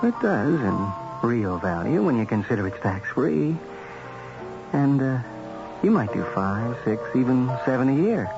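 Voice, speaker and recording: An American actor playing a smooth magazine editor who recruits contract killers in a 1970s radio drama, insinuating and matter-of-fact with casual hesitations. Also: male voice, medium pitch, big pitch swings, unhurried. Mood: coolly persuasive. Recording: muffled old radio broadcast, music bed.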